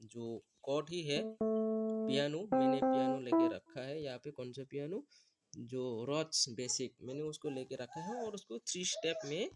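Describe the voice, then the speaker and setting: A man who is not a native Hindi speaker gives a step-by-step beat-making tutorial, talking in a halting, apologetic way. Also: mid-range voice, expressive, over loud music. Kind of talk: music production tutorial. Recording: beats and instrument clips playing loud.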